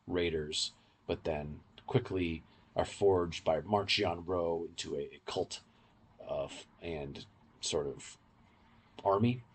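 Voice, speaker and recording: A man's voice: lowish voice, a little mellow. A man co-hosting a podcast, giving casual, in-depth fan commentary in a rambling, explanatory way. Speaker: podcast co-host.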